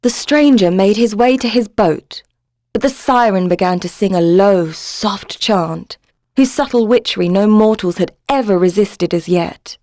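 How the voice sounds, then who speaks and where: A woman narrates a dramatic tale in a mid-range voice. Her delivery is measured and literary. The sound is dry, with almost no room around it. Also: medium pitch, mellow, no echo. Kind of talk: scripted dramatic story narration.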